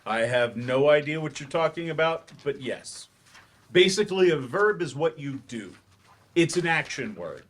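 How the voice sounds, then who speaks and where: A man acting in a staged play reading as a patient, slightly awkward English teacher who explains in measured steps with hesitant asides. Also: fairly high pitch, expressive, fairly bright tone. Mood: patient explaining.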